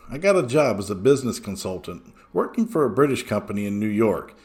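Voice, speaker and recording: A man reading a first-person story aloud as scripted podcast narration. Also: medium pitch. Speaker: podcast host reading listener stories.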